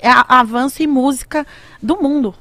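A woman's voice: sits high, normal speed, bright, forceful tone, no echo. A young Brazilian woman, a rapper guest, telling stories in slangy casual chat on a podcast, close to a studio mic. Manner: slangy storyteller, playful. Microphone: studio mic on boom arm.

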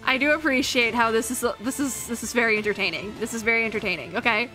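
A young woman giving casual, excitable reaction commentary as a video host, often repeating herself. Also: fairly high pitch, fast talker, faint music behind, low-quality recording.

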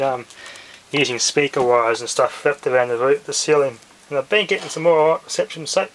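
A man with an Australian accent gives a casual hands-on demonstration in a halting, rambling way, often trailing off. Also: mid-range voice, a bit bright, a little hiss or hum, poor audio. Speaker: hobbyist radio vlogger. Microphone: handheld camera's built-in microphone.

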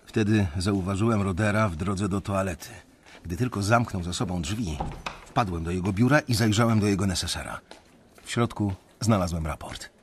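A man's voice: sits low, normal speed, a little hiss or hum, close to an everyday voice. An actor playing a resentful young investment banker who tells his story bitterly in a radio drama. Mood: bitter recollection.

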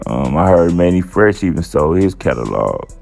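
A relaxed man talks through a news story and his own opinions in a slow, easygoing drawl full of fillers. His voice sits very low with a rough, gravelly edge. Faint music plays under him. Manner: laid-back, drawling, with many fillers. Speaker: channel host. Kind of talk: casual commentary reading a news article.

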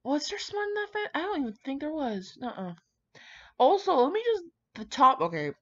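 A woman's voice: sits high, very animated, normal speed, a bit bright. A woman rambling casually with dramatic asides, brash, slangy and full of swearing as she comments on fan fiction. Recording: dull lo-fi microphone.

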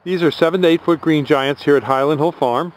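A man's voice: fairly high pitch, fairly quick, slight background noise, poor audio. Man, tree farm owner, folksy, plainspoken. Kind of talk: casual promo talk.

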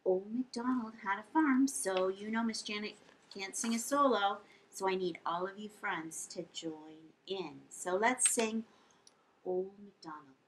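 A woman in her fifties hosting a storytime for young children as a librarian, reading aloud in an exaggerated, expressive way. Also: mid-range voice, unhurried, a little hiss or hum, poor audio. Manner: animated, exaggerated, with audible gasps and hushed whispers. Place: indoor lounge, seated on a couch.

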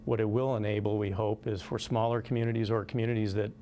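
A man in his forties with an American accent, giving halting, measured explanations in an interview. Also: on the low side, little pitch movement, dark tone, slight background noise. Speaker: company representative being interviewed. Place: small indoor conference room. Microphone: lapel.

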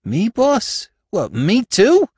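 Man doing an excitable small-time New York crook. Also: high voice, takes it slowly, breathy edge, dry recording, studio quality, voice pushed up, full character voice. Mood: dismayed. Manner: slangy, ungrammatical, excitable.

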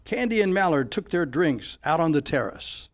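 A man's voice: on the high side, very animated, normal speed, very noisy. An older man reading scripted narration as the announcer of an old-time detective radio play on stage. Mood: storytelling. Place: on a stage, live performance. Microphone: microphone on a stand.

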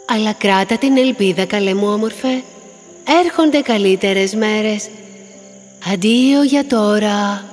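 A woman's voice: medium pitch, close to an everyday voice. Tender, sorrowful mare in long reverb voiced by woman. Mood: tender, mournful. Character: gentle, world-weary chestnut mare, a loving friend. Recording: long cavernous reverb, faint music.

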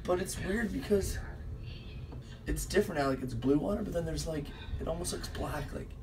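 A man on a ship filming the sea from his cabin window, talking casually in halting, awed phrases. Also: a little high. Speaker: cruise passenger. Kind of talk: casual filmed commentary. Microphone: phone camera. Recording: distant phone audio with noise.

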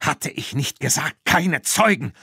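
A man voicing a haughty, irascible horse buyer in an audiobook, with a scornful, curt manner that turns shrill in anger. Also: expressive, unhurried, creaky, bright, forceful tone, breathy edge, no room sound, clean studio sound, full character voice. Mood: furious. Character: haughty, irascible male horse buyer, spiteful and easily panicked.

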